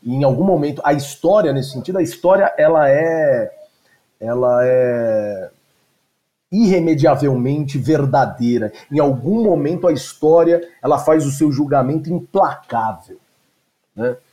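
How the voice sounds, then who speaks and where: A man with a fairly high voice lecturing to his class. He talks in a digressive way, piling on asides and fillers, and his pace is unhurried. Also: lively intonation, warm tone. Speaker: teacher. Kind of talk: lecture discussion.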